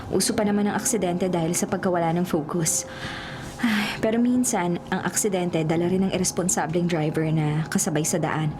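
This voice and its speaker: A woman's voice: mid-range voice, natural voice. Actress as caring young Filipino student, radio love story lead, mid-range female voice over music. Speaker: radio drama actor. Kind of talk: Filipino romantic radio drama acting. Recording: music under the voice.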